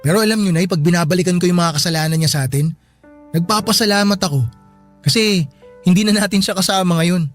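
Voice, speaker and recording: A devoted young Filipino son in a radio drama, acted by a man. His voice sits high for a man. He talks with earnest, respectful gratitude while light music plays behind him. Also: fast, natural voice. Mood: resigned relief. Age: young adult.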